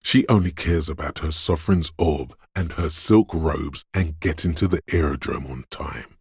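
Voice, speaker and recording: An actor playing a menacing, paranoid inner voice of fear and aggression in a game, narrating slowly and ominously in a husky, gravelly voice. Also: male voice, extremely low pitch, darkish tone, no echo, studio quality, exaggerated voice. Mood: cold contempt. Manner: ominous, slow, deliberate delivery. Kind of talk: dramatic second-person game narration.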